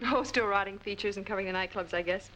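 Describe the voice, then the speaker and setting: An actress playing a wry, quick-witted newspaper woman in a 1940s film. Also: mid-range voice, bright, forceful tone, lightly acted. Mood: wry, brisk. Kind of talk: 1940s film noir acting. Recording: noisy 1940s film soundtrack.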